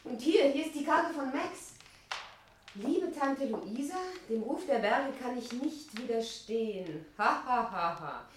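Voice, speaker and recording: Grumbly young governess, teasing n plaintive, voice a bit high, old 60s german radio play w/ slight noise. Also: female voice, expressive, measured pace. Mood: amused, mocking. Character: weary, complaining young governess visiting her adoptive aunt. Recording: noisy 1960s radio recording with clicks.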